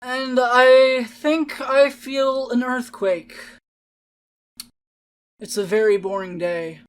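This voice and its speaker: A woman voicing a bored, weary child in a dramatic poem reading, deadpan and listless with drawn-out words. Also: sits high, smooth. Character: bored, weary child oblivious to wild wonders.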